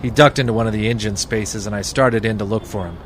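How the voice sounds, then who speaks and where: An actor playing a wry, hard-boiled private detective in 1940s radio noir style, deadpan and terse. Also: male voice, fairly low pitch, fast talker, a little hiss or hum, lightly acted.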